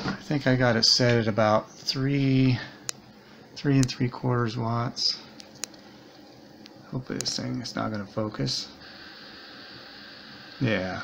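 A man's voice: medium pitch, gravelly, noisy background. A man giving a casual hands-on repair demonstration at a workbench, thinking aloud a little hesitantly. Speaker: electronics repair hobbyist, host. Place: indoors at a workbench.

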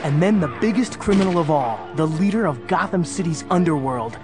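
An actor playing an earnest, youthful superhero sidekick, narrating a children's audio drama. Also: male voice, a little high, animated, brisk, lightly acted. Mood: earnest storytelling. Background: music.

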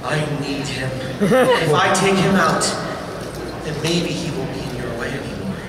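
A man's voice: a little high, expressive, normal speed, far from the mic, very noisy, lightly acted. A man playing a lovesick scheming vice president. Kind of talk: live improv comedy. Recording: echoey hall with loud crowd.

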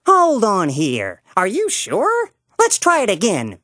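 A woman's voice: medium pitch, wildly swooping pitch, takes it slowly, over music. A woman voicing a lazy, flustered young frog puppet in a children's show, hesitant and forgetful. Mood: muddled.

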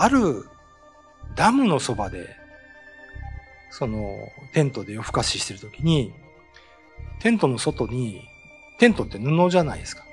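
Animated middle-aged male storyteller. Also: on the high side. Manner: animated storytelling, acts out sounds. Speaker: host and ghost-story writer. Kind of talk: casual talk explaining folklore. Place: small studio set with bookshelf backdrop, indoors. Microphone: desk mic on a stand.

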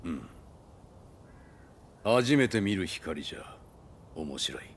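A man's voice: sits low, big pitch swings, takes it slowly, a little hiss or hum, exaggerated voice. A male actor playing a cool, commanding young samurai warlord who speaks in archaic, imperious lordly Japanese for a game. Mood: cool, intrigued.

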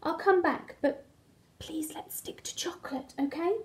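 A woman's voice: sits high, a little slow, whispered. A woman voicing an embarrassed, resentful brown crayon from a storybook with wry sarcasm. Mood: sarcastic, embarrassed. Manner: sarcastic, aggrieved.

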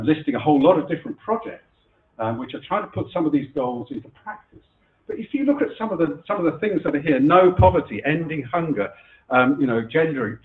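Middle-aged male keynote speaker, husky from a cold, fillers and restarts; tinny, roomy lecture hall sound. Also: medium pitch, slight background noise. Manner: chatty, self-deprecating, frequent fillers and restarts. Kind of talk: conference keynote talk. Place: lecture room before a live audience. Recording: echoey room over a phone-like line.

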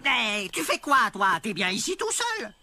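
Un homme qui joue un petit suricate mâle effronté et rêveur dans un doublage de dessin animé, avec un débit théâtral et gouailleur. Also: male voice, very high voice, wildly swooping pitch, slight background noise, heavily acted. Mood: sarcastic, bold. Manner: theatrical, wisecracking, fast-switching delivery. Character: small, cheeky, excitable male meerkat dreamer. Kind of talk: animated film dub acting. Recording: loud film music over the voice.

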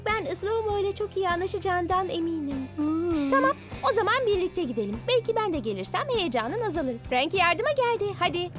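A woman voicing a sweet, earnest, naive teenage monster girl in a Turkish cartoon dub. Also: very high-pitched.

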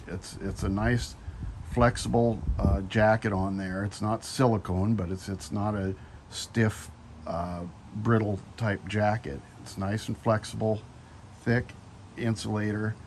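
A middle-aged man talks slowly and a little low, reviewing gear in a casual, rambling way full of "uh"s and restarts. He is outdoors in a sunny driveway, filming on a handheld phone, with faint outdoor noise behind him. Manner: unhurried, rambling, many fillers and restarts. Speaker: DIY video maker.

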